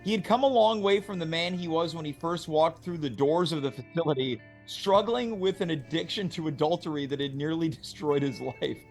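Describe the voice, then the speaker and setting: A man's voice: a little high, a little fast, vocal fry, few pauses, faint music behind. A man hosting a casual show, chatting and reading scripted text aloud. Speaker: show host.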